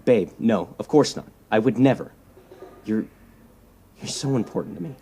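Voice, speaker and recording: An actor playing a persuasive, self-righteous young superhero leader in an audio drama, speaking earnestly and insistently and repeating words for emphasis. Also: male voice, fairly low pitch, normal speed, warm tone, slight background noise, lightly acted. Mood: pleading.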